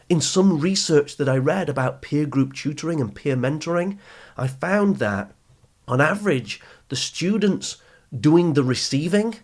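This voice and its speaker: A man hosting a motivational advice talk for teenagers, speaking in an emphatic, deliberate teaching manner that stresses key phrases. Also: medium pitch. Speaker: podcast host and youth coach.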